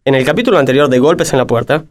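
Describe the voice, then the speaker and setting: A man's voice: mid-range voice, fast, lightly acted. A man playing the announcer who narrates a comic crime radio serial in a declamatory manner. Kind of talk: scripted radio play narration.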